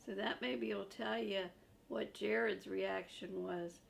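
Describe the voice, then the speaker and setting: A woman's voice: mid-range voice, steady tone, slow, gravelly, a little hiss or hum. An older woman, a grandmother, casually introducing and reading a picture book to children. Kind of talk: casual storytime read-aloud.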